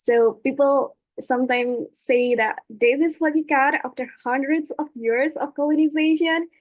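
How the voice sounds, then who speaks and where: A woman's voice: high-pitched, steady tone, a little slow, no echo. A young woman from Indonesia giving a webinar talk over slides, hesitant with many fillers and restarts. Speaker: webinar speaker. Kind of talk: presentation with slides.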